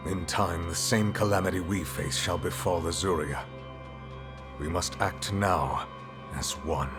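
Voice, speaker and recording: An actor playing a solemn, formal envoy of a queen in a fantasy game, eloquent and measured. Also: low voice. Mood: urgent pleading. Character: solemn, courtly male envoy of a queen, steely beneath courtesy. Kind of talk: cinematic fantasy game acting. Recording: orchestral music behind.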